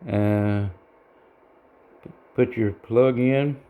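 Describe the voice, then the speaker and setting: A man giving a casual, unscripted how-to demonstration in a halting, folksy, plain-spoken way, as a hobbyist. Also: fairly low pitch, steady tone, mellow, clear, resonant tone. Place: indoor home radio room.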